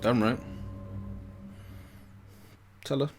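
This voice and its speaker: A man hosting a reaction video, giving brief, informal interjections as he watches game cutscenes. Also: extremely slow. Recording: echoey, distant mic, loud game music. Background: loud game music.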